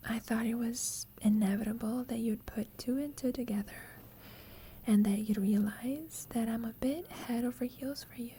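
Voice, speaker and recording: A woman playing a teasing, affectionate young roommate with a secret crush in an ASMR roleplay, speaking softly and breathily, often in a whisper, with playful, tender gentleness. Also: fairly high pitch, normal speed, slight background noise, lightly acted. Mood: tender, confessional. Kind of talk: ASMR roleplay, intimate sleep-aid audio.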